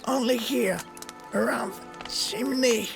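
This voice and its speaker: An actor playing a gravely sick, frightened male villager who speaks weakly in halting phrases. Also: extremely high pitch, full character voice. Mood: weak, pained. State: ill, in pain, weak. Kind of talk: video game voice acting. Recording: music behind the voice.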